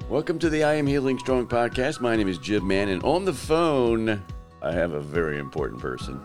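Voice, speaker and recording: A man hosting a podcast in casual, joking chat, his voice lowered by allergies. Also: sing-song, a little fast, faint music behind. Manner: joking, teasing. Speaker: podcast host. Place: South Carolina.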